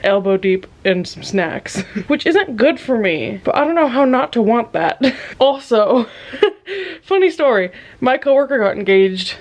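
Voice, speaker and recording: A woman in her twenties, a vlogger chatting casually from bed, low-key and musing with frequent soft laughs. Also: very animated, a little slow. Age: 20s. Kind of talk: casual confessional chat. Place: lying in bed at home.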